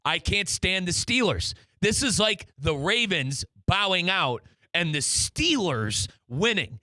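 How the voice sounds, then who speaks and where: A man's voice: high-pitched, sing-song, slow, sharp tone, no echo. A man hosting a soccer talk show in an emphatic, chummy radio-host manner, often repeating himself. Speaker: sports radio host and play-by-play announcer.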